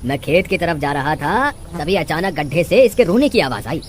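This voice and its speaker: Woman as an earnest village farmer, animated delivery. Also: low-pitched, normal speed, slight background noise, voice pushed down. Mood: pleased, kindly. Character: kindly but firm village farmer, a man. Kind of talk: animated storybook dialogue.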